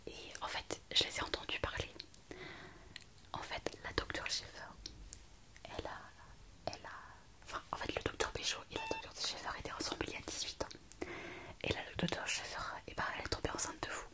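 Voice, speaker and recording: A woman playing an excitable, caring young hospital worker in a whispered ASMR roleplay, gossipy and hesitant as she tells a story. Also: fairly quick, long pauses, close to an everyday voice. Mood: confiding, hesitant. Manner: breathless, hesitant storytelling to the listener.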